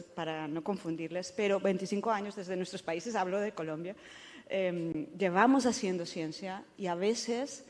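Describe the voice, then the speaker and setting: A woman's voice: lowish voice, a little mellow. A woman with a Spanish accent speaking on a conference panel, hesitant and full of fillers. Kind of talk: panel discussion. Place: conference hall. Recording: long hall reverb.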